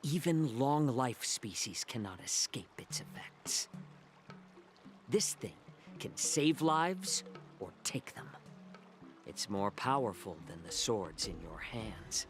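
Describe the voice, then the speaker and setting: An actor playing a polite, talkative scholarly healer with a sly streak in a video game, explaining things at length. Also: male voice, mid-range voice, very animated, slow. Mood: sly, ominous. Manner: wordy, explanatory, lecturing. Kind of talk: scripted game dialogue. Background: game music.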